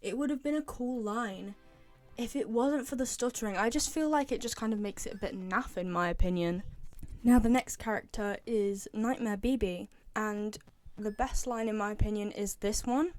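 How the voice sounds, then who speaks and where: A woman's voice: medium pitch, moderate pace, darkish tone. A young woman with a British accent giving casual opinion commentary as a video host, often repeating herself for emphasis. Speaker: video host, game fan.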